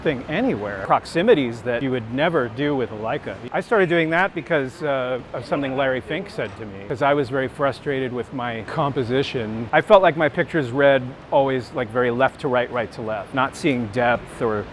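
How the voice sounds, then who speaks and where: A man's voice: normal speed, few pauses. Middle-aged American man over city street noise. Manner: candid, self-deprecating, anecdotal. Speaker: street photographer and workshop teacher being interviewed. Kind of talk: casual reflective chat while walking. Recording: outdoor city rumble and traffic noise. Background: urban rumble, vehicles.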